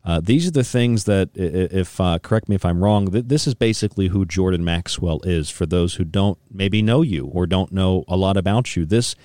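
A man's voice: deep voice, normal speed, hardly any pauses, dark tone, no echo, studio quality. A thirty-year-old man hosting a radio interview, speaking earnestly in long, wordy questions and rephrasing himself as he goes. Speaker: radio show host.

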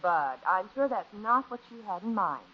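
A woman's voice: mid-range voice, big pitch swings, moderate pace, breathy edge. Patient, lilting sitcom mother. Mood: patient, firm. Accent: American. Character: patient, firm mother and housewife calming squabbling children. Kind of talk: 1950s radio sitcom acting. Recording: muffled old radio recording, faint noise.

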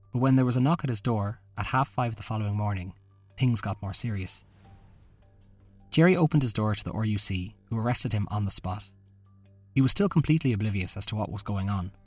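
A man's voice: fairly low pitch, no echo. A man hosting a podcast, reading a scripted true-crime narration. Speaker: podcast host.

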